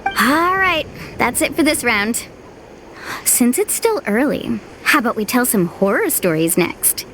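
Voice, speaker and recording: A woman's voice: on the high side, animated, unhurried, noisy background. A woman voicing a cheerful, easygoing young tour guide in a video game, tactful but firm. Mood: upbeat. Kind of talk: video game character acting. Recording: music playing behind.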